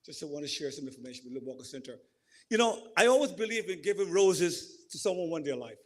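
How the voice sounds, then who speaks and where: A man from Guyana with a Guyanese accent, giving an emotional, halting speech at a community reception. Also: on the high side, very animated. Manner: emotional, halting, repeats and corrects himself. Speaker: event speaker. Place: community center hall.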